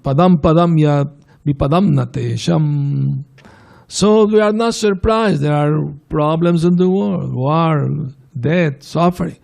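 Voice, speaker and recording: A man gives a spiritual talk in a temple hall with a gravelly, mellow voice that sits a little high. He answers questions gently and reassuringly. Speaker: swami giving a class. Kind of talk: Q&A after a scripture class.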